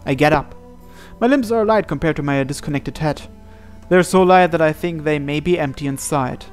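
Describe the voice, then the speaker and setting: A man's voice: fairly high pitch, normal speed. A man hosting a gaming video, reading visual novel narration aloud. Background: soft game music.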